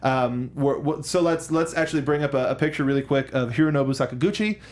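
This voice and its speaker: A man co-hosting a casual film discussion, speaking in a halting, rambling way and often circling back to rephrase with enthusiasm. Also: on the high side, darkish tone. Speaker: co-host of a film discussion show.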